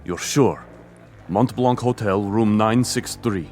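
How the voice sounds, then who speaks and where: A man's voice: fairly low pitch, slow, darkish tone, faint music behind. An actor in a radio drama playing a wary, controlled man making a telephone call. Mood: tense, satisfied.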